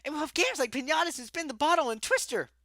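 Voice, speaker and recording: A bouncy, party-mad young stallion pony, played by a woman, spilling out rapid, breathless lists of treats and games. The voice sits high and bright and leaps all over, recorded close and dry with no room sound. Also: animated, dry recording. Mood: gushing. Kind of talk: fan audiobook voice acting.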